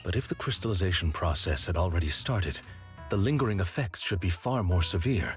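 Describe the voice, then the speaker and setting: Deep polite butler, super formal, lively pitch, music faint behind. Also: male voice, brisk. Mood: measured. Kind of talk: game cutscene voice acting.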